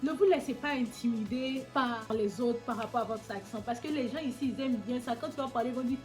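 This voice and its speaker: Young woman, Ivorian accent, fairly high voice, animated casual chat, soft music behind. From Côte d'Ivoire. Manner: lively, animated, quick to tease. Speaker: vlogger. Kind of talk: casual chat answering questions.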